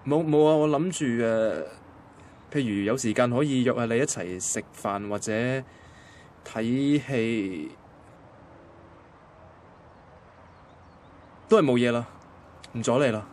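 A man's voice: mid-range voice, moderate pace, darkish tone, natural voice. A man playing a shy, friendly, hesitant young man in a radio drama, with a Hong Kong Cantonese accent. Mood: awkward, hesitant. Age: young adult. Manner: hesitant, casual. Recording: faint ambient noise behind voice.

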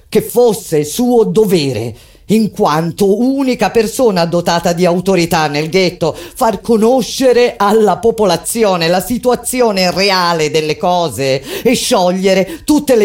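An actress playing a grave resistance fighter, delivering a solemn, declamatory theatrical monologue as a recollection of an uprising. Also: female voice, on the low side, a little mellow. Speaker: stage actress narrating. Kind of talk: dramatic theatrical monologue with live music. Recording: music under the voice. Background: music.

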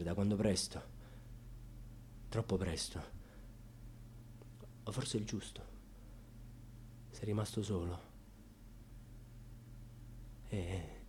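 An actor playing a proud, wounded, defiant grandson in a dramatic radio monologue, with a husky voice. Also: male voice, mid-range voice. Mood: tender, subdued. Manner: dramatic, swinging from hushed confiding to fierce outbursts.

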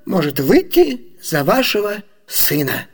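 An actor playing a fussy, excitable old merchant father who repeats himself and exclaims, in radio theatre comedy. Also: sits high, measured pace, breathy edge, big-room sound, heavily acted. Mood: excited, smug.

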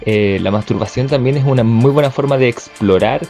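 A man's voice: mid-range voice, brisk, dark tone. A man giving a reflective spoken monologue as a guest contributor, hesitantly searching for his words. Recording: music bed under the voice.

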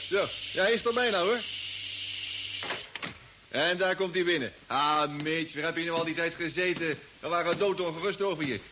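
An actor playing an excitable, chatty young space crewman in a vintage radio play, stammering eagerly. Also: fairly high pitch, moderate pace, close to an everyday voice. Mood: cheerful, chatty. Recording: noisy vintage radio drama recording.

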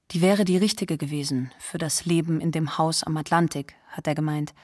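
An actress plays a star's former lover looking back in a radio play. Her voice is on the low side, wistful and resigned in a conversational monologue. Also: natural voice.

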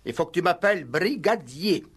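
A self-important village gendarme in a vintage radio comedy-mystery. His high voice leaps up and down as he stammers, fusses and fawns, eager and easily flustered. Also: moderate pace, breathy edge. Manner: obsequious, pompous, stammering, excitable. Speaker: radio actor. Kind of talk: 1960s French radio play acting. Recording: vintage 1960s radio recording.

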